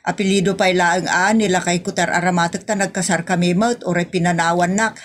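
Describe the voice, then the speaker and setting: A woman's voice: on the low side, steady tone, close to an everyday voice. A woman voicing an aggrieved, chatty older village wife with an Ilocano accent, rambling and plaintive in a comedy audio drama. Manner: rambling, plaintive, drawn-out complaining.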